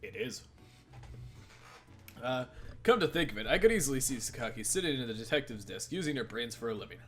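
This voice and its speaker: Male let's play narrator, average pitch, bantering reader, faint music underneath. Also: sing-song, fairly quick. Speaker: host reading a visual novel.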